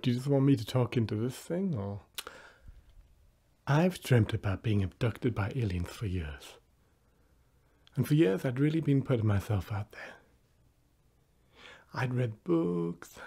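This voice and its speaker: A man's voice: medium pitch, lively intonation, moderate pace, pauses often, mellow, husky. A young man playing an eager, awkward client giving a mock testimonial. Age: young adult.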